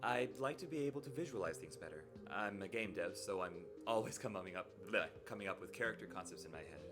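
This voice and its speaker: A man's voice: fairly low pitch, lively intonation, fast, music in the background, lightly acted. A man reading as a young game developer. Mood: plain. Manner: plain, unacted script reading.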